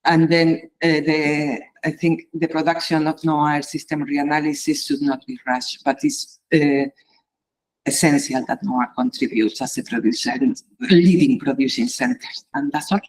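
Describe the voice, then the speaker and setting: A woman giving a measured conference talk as an invited reviewer, pausing often with fillers. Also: low voice, fairly even intonation, a little mellow, poor audio. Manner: halting, measured, many pauses and fillers.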